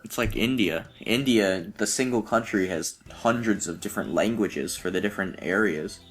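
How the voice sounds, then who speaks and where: A man in a casual podcast chat, speaking haltingly with many fillers. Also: fairly low pitch, slightly rough, faint music behind. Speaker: podcast host.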